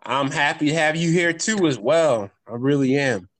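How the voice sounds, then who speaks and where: A woman hosting a podcast interview, relaxed and friendly. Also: low voice, moderate pace. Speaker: podcast host.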